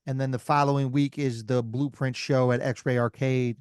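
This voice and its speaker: A man's voice: medium pitch, level tone, normal speed, darkish tone, clear, resonant tone, dry recording, studio quality. A man, a rapper, talking in a laid-back, loose way on a podcast, with many fillers and repeats. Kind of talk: casual podcast chat.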